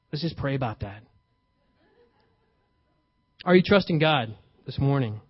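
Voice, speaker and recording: A young adult man preaching a sermon, lively and playful, acting out paraphrased dialogue with sudden emphasis. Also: medium pitch, dry recording. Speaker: pastor. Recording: dull, slightly lo-fi, dry sound.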